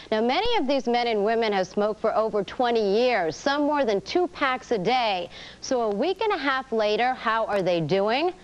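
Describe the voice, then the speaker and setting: A woman's voice: fairly high pitch, highs cut off. Woman reporter presenting live. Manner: brisk on-air delivery. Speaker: TV news reporter.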